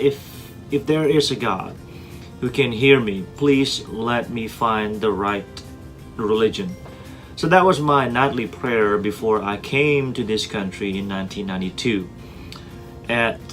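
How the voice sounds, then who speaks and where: A man in his forties from Indonesia gives a prepared self-introduction as a teacher, with a somewhat low voice. He speaks earnestly, often pausing on "uh" and repeating a word. Soft guitar music plays faintly underneath. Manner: earnest, with frequent "uh" fillers and repeated words.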